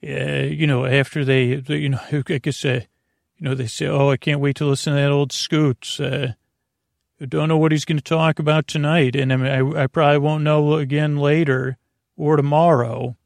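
A man with a creaky voice hosting a bedtime podcast, meandering and halting through a soothing monologue full of tangents and self-corrections. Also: fairly high pitch, flat delivery, no echo, clean studio sound. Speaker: podcast host. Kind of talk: rambling bedtime monologue meant to lull listeners to sleep.

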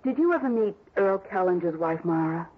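An actress playing a bitter, greedy widow in a cynical, defiant manner, in a 1960s radio detective drama. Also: female voice, fairly low pitch, lively intonation, a little fast, breathy edge. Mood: cool, evasive. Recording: dull vintage radio recording.

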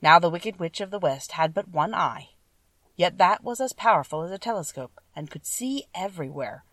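Lower-pitched woman narrating a storybook. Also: animated, normal speed, fairly bright tone, no room sound, distant mic. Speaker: audiobook narrator. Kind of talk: scripted children's story narration.

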